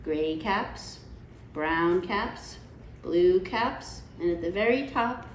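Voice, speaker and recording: A woman reads a children's picture book at an unhurried pace, with very lively, swooping intonation. She talks directly to young listeners and puts questions to them. The room is echoey, with a little background noise. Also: on the low side. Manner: expressive, deliberate, asking listeners questions. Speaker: storytime host reading a picture book to children. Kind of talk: read-aloud storytelling with asides to child listeners.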